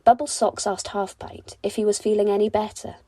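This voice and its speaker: A woman reads a children's bedtime story with gentle expression. Her voice is mellow and mid-pitched, and the recording is close and dry. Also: level tone, fairly quick, dry recording.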